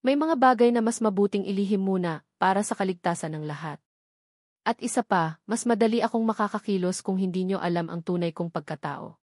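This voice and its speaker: A man's voice: sits high, fairly quick, darkish tone, no echo, clean studio sound. Audio drama security agent, male, read by a woman: loyal, discreet, courteous, sincere. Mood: sincere, respectful. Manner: formal, polite, sincere.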